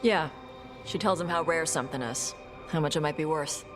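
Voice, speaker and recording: A woman voicing a blunt young dragon hunter who talks in short, gruff, dismissive lines in a game cutscene. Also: low voice, moderate pace, over music, natural voice. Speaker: treasure hunter. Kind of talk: video game cutscene dialogue.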